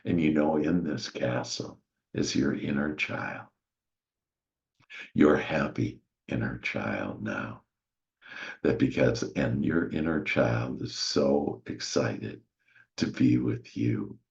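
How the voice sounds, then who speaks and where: Very deep, gravelly man guiding a slow meditation. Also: lo-fi sound. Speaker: guided meditation leader. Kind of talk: soothing guided meditation, slow spiritual visualization.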